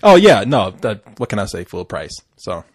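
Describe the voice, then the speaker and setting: A man's voice: lowish voice, big pitch swings, normal speed, darkish tone, breathy edge, no echo, clean studio sound. A man hosting a casual movie review chat, joking and laughing often as he steers the talk.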